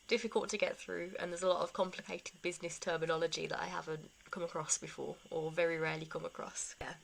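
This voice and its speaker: A woman's voice: on the low side, vocal fry, a bit bright, a little hiss or hum, poor audio. A young woman vlogger giving a casual life update, rambling with self-deprecating asides.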